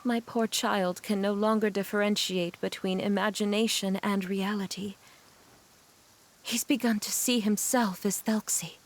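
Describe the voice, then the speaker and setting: A woman playing a gentle, resolute but sorrowful mother in a video game, speaking in a measured, earnest way. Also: medium pitch, fairly even intonation, natural voice.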